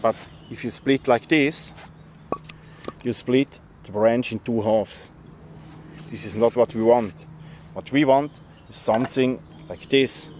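Slow-talking man outdoors. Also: medium pitch, a little mellow, slight background noise. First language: German. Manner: plain, friendly, step-by-step explaining. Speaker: bushcraft instructor. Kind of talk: outdoor tutorial demonstration. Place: forest outdoors.

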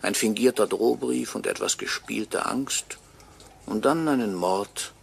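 An actor playing a bitter murder victim who narrates a radio crime drama with brooding, sardonic deliberation and a gravelly voice. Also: male voice, fairly low pitch, unhurried, heavily acted. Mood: bitter irony. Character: bitter murdered watchmaker's employee, narrating from beyond death.

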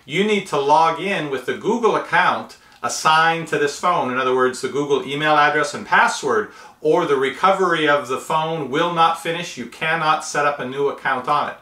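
Bright-voiced man giving step-by-step instructions, roomy. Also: fairly high pitch, moderate pace. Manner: methodical, explanatory. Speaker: host of a tech tutorial channel. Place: small indoor room, seated at a table. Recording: roomy indoor echo.

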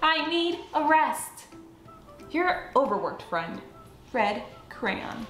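A woman's voice: high-pitched, big pitch swings, slow, fairly bright tone, heavily acted. A woman voicing an overworked, weary red crayon in an emphatic storybook read-aloud. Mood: weary complaint. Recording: roomy echo with music behind.

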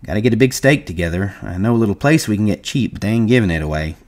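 A man's voice: fairly low pitch, lively intonation, very fast, a little mellow, natural voice. A man voicing a shrewd, folksy ranch hand with plain rustic American speech in an audiobook story. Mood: matter-of-fact.

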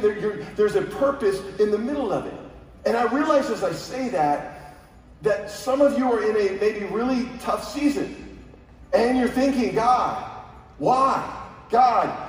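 A man preaching a conversational sermon with rhetorical questions, speaking directly to listeners over a PA in a large auditorium. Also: high voice. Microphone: heard over PA from audience seats. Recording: very echoey hall from far off.

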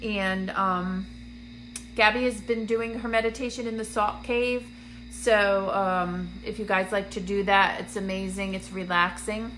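A woman's voice: medium pitch, steady tone, a little slow, a bit bright, a little hiss or hum, rough recording. Congested woman chatting on a phone live stream. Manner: chatty, greets viewers by name, many ums. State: congested, getting raspy. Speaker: medium hosting a live stream. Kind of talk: casual livestream chat to viewers. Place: dim indoor room, seated on a couch. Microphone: phone camera at arm's length.

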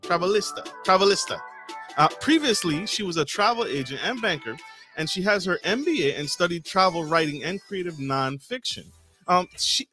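A man hosting a podcast, energetic and stumbling over repeated words as he introduces and questions his guest. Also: a little high. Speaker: podcast host. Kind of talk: podcast interview. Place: home studio with green screen. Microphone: studio mic with headphones.

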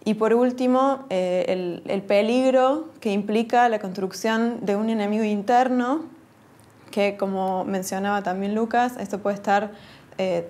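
A young woman, explaining calmly in an interview with frequent hesitations. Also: medium pitch. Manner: measured, hesitant with frequent fillers. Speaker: philosophy researcher, interviewee. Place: indoor room, seated interview.

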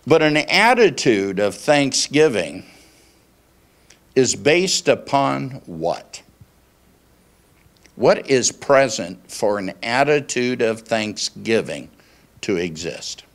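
A man in his sixties preaching conversationally, in deliberate phrases, putting questions to his congregation into a handheld microphone. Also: mid-range voice, sing-song. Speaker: pastor. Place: small church meeting room, indoors. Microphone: handheld microphone held at chest.

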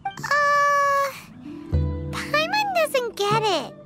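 Tiny fairy girl, squeaky and childish, hugely animated, excitable game companion, music behind. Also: drawn-out words, full character voice. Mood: puzzled. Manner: refers to self in third person, expressive. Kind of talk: anime video game dialogue.

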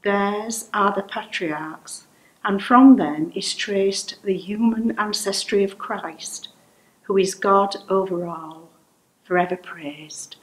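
Older woman reading scripture slowly. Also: medium pitch, poor audio. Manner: slow, measured, careful reading. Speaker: lay reader.

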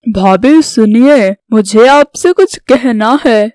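A woman's voice: a little high, animated, slow, a little mellow, dry recording, studio quality. A woman voicing an earnest, patriotic young man in a cartoon story, speaking in declarations. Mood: eager. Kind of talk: scripted Hindi cartoon story dialogue.

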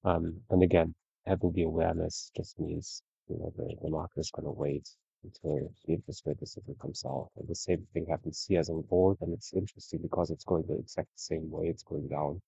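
A man with a very low, heavily gravelly voice talks through trading charts in an easy, conversational way. His tone is husky and dark, and he often tags his points with a questioning "right?". The recording is slightly dull and lo-fi. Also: steady tone, fairly quick, no echo. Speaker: trading educator. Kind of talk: screen-share trading review.

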